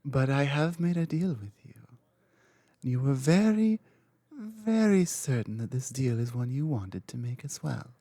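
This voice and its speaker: A woman voicing an ancient, dominant female dragon queen in an ASMR roleplay audio, speaking in a slow, cryptic, commanding way that slips into soft whispers. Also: extremely low pitch, very animated, no room sound, heavily acted.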